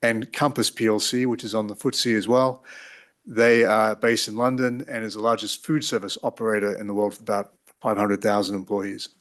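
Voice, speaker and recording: A middle-aged man with an Australian accent formally introducing his background at a hearing, in a measured, orderly way. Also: fairly low pitch, level tone. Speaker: applicant, company board chair.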